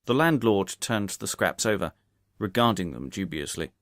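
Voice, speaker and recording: A man's voice: low-pitched, lively intonation, moderate pace, a bit bright, dry recording, studio quality. A man reading a detective story as scripted audiobook narration.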